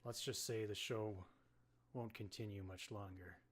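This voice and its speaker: A man voicing a grave agency boss who speaks low and quietly. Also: steady tone, unhurried, slight background noise, close to an everyday voice. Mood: ominous. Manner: low, muttering and ominous.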